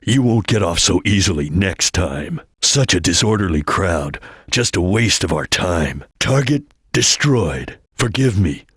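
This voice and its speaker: A stern ninja chameleon from a video game. The voice is husky and moves widely in pitch around a middle register. Lines come out clipped, formal and solemn, like those of a disciplined fighter, recorded very dry. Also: male voice, lively intonation, measured pace, a bit bright, no echo, heavily acted. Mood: grave, resolute. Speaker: video game character giving battle lines and tutorials. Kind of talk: dramatic video game voice acting.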